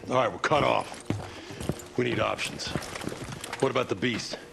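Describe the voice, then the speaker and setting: An actor playing a squad leader in a game, giving gruff, low-key orders. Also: male voice, moderate pace. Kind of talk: game cutscene acting.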